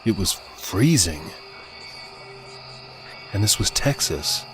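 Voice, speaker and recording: A man's voice: fairly low pitch, very animated, slow pace. A man reading scripted fiction as a dramatic audiobook narrator. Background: music.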